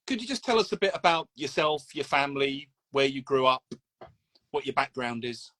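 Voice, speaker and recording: A man with a British accent hosting a conversational interview over a video call, speaking haltingly and rephrasing his questions as he goes. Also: mid-range voice, expressive, pushed and bright. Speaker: interview host.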